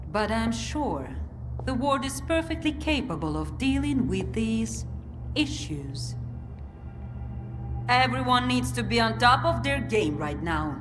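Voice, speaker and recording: An actress playing a composed, authoritative woman in command in a video game cutscene, measured and diplomatic. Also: fairly high pitch. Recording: long reverberant hall, music behind.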